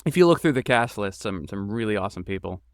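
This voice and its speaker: A man's voice: fairly low pitch, big pitch swings, quick pace, no room sound, clean studio sound. A man talking casually as an interview guest, explaining his creative work and thinking aloud as he goes. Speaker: podcast guest, game creator and voice actor.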